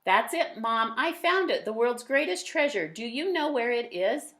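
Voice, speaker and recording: Woman voicing a boastful little boy adventurer, pitched up. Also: animated, normal speed, fairly bright tone. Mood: affectionate, excited. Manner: declarative, emphatic boasting. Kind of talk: children's storybook character reading.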